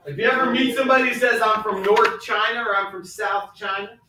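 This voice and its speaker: Male schoolteacher, high-pitched, informal explainer. Distant mic in a very echoey, noisy classroom. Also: little pitch movement, fairly quick. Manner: informal, instructive. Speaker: school teacher. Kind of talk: classroom lesson, explaining and questioning.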